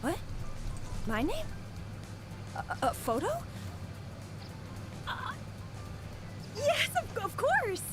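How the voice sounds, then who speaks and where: A shy young fangirl in an anime game, high-voiced and stammering. She speaks slowly and earnestly, as if overwhelmed by her idol, with clear music playing behind her. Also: huge pitch swings, heavily acted. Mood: flustered, adoring. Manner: nervous, breathy stammering, earnest. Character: shy, starstruck young female fan of an idol. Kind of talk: anime video game voice acting.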